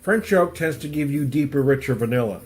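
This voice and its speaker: A man's voice: medium pitch, expressive, fairly quick, darkish tone, faint music behind. A middle-aged man with a stuffy nose from a cold, rambling casually through a home beer tasting review and chuckling often. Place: home room with music playing.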